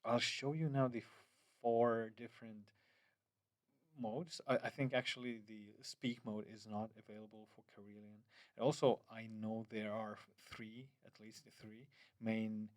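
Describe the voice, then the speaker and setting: A man's voice: fairly low pitch, fairly even intonation, a little slow, no room sound. Hesitant man. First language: Finnish. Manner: hesitant, many fillers and restarts, loose phrasing. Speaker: app developer. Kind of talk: screen-recorded app demo.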